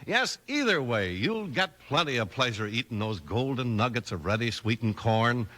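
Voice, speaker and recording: A man's voice: big pitch swings, moderate pace, sharp tone. A man with an American accent announcing and narrating in an emphatic, polished 1950s radio style. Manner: emphatic, polished sales pitch. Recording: vintage radio broadcast. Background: music.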